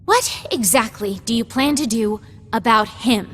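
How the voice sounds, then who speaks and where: A woman playing a feisty, defiant and protective young woman in a video game, with an exasperated, sharp-tongued delivery. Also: fairly high pitch, measured pace, breathy edge. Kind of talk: video game cutscene acting. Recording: long hall reverb.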